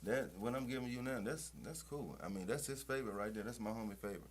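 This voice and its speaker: A man's voice: mid-range voice, fast, slight background noise. A man being interviewed as a rapper, talking in a boastful, profane, streetwise way and leaning on "you know what I'm saying" as he talks. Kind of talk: casual interview chat.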